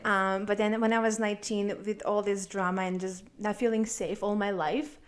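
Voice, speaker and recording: Guest: woman, 30s, from Serbia, earnest and halting, mid-pitched. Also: level tone. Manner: earnest, halting, with frequent ums and restarts. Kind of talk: personal testimony in casual chat.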